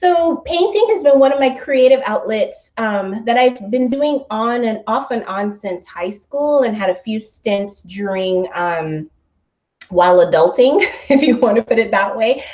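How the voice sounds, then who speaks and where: A woman's voice: a little high, darkish tone, highs cut off. Chatty stuffy-nosed woman on a live stream. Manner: chatty and upbeat, laughs often between sentences. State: stuffy from allergies. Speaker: host of a travel company's weekly live stream. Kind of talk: casual live-stream chat to viewers. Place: indoors at home. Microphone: laptop microphone.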